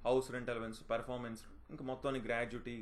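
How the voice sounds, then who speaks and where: A man's voice: mid-range voice, flat delivery, racing. A man giving an informal, instructive explainer, mixing in English terms and often checking that listeners follow. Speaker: job notification presenter.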